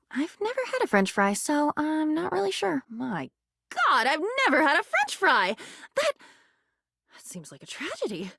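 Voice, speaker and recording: A woman playing a living diary in a video game, a curious, quirky and excitable character who muses aloud in a chatty, theatrical, whimsical way. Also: mid-range voice, vocal fry, husky, no echo, clean studio sound, full character voice. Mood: playful, wistful.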